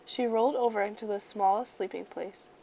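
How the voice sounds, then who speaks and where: A woman reading a children's story aloud in an expressive, measured storyteller's manner. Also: mid-range voice, vocal fry, slight background noise, low-quality recording. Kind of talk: children's picture book read-aloud.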